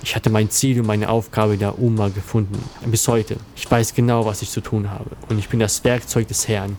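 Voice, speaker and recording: A man's voice: lowish voice, level tone, moderate pace, a little mellow, natural voice. A man playing a zealous, disdainful young convert, narrating earnestly in a scripted audio drama. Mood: earnest, resolute. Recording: clear background noise and ambience.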